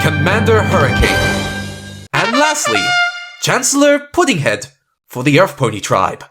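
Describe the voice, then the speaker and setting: A performer playing a solemn storybook narrator in an audio drama, reading scripted narration. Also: mid-range voice. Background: loud music.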